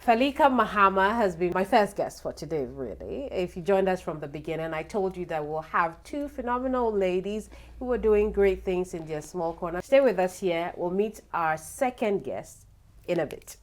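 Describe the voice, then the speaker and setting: A woman hosts a TV talk show in an upbeat, animated manner. Her pitch leaps around, and it sits on the low side. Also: normal speed. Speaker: host.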